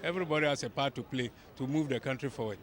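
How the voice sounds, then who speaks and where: A man with a Ghanaian accent answering calmly in a street interview as a passenger. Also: mid-range voice, rapid-fire. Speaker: airline passenger.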